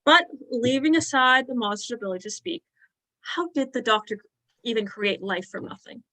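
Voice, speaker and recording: A woman's voice: a little high, expressive, normal speed, sharp tone, no room sound, low-quality recording. A woman playing an earnest, placating young male poet in a period drama reading. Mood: admiring.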